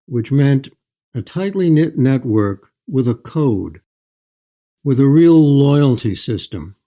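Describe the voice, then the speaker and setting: Older man, mellow tone, middling pitch, measured delivery, articulate, reflective author interview. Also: animated. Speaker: guest author.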